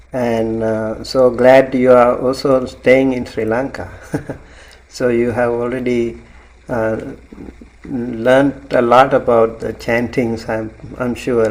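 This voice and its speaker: A man hosting a class on a video call, speaking slowly and softly with an even, level tone. He breaks up his thanks with ums and small laughs. A faint hiss sits behind him. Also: mid-range voice, poor audio. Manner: slow, soft-spoken, with frequent ums and light laughs. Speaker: Buddhist monk teacher hosting a class. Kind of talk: welcoming students on a video call.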